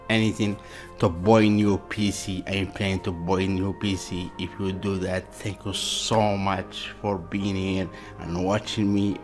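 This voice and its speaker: Deep-voiced excited man from Algeria. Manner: enthusiastic, repeats exclamations, simple English. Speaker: reaction channel host. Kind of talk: excited reaction commentary. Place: small indoor room before a dark backdrop.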